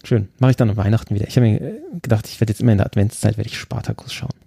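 A man's voice: fairly low pitch, steady tone, very fast, vocal fry, dark tone, breathy edge, dry recording, clean studio sound. A man co-hosting a podcast in casual chat, enthusiastic and laughing often. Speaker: podcast co-host.

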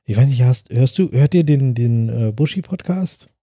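A man co-hosting a podcast in casual chat, rambling and halting with many fillers. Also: mid-range voice, expressive, mellow, dry recording, clean studio sound. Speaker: podcast host.

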